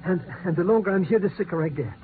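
A man playing a whiny, high-pitched panicky coward. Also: fast, lightly acted. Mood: pleading, whiny. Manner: whining, repeats himself in alarm. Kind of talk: 1950s radio drama acting. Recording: noisy, tinny 1950s radio recording.

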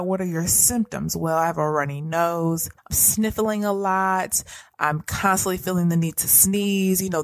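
A woman's voice: lowish voice, no echo. Earnest woman teaching about anxiety. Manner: earnest, repetitive phrasing, direct address. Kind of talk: teaching monologue.

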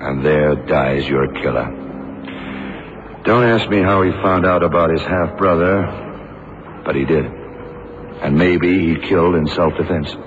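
An extremely low-voiced, brooding detective holds the floor with grave authority. He speaks in terse, deliberate phrases in vintage radio drama style, on a muffled, noisy old recording. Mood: somber, knowing. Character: grave blind male detective, shrewd, brooding, commanding. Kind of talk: 1970s radio drama acting.

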